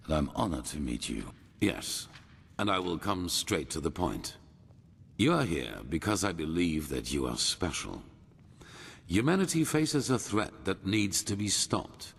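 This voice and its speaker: Grave old order leader for a fantasy game: gravelly, expressive, formal and measured. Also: male voice, low voice, heavily acted. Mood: solemn, courteous. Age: older. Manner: solemn, formal, measured storytelling. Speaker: video game character. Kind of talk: dramatic fantasy game dialogue.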